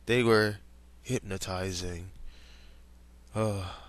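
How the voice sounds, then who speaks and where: A man's voice: deep voice, steady tone, drawn-out words. A young man reading fan fiction aloud in a casual way, stumbling over words and breaking off to comment and laugh.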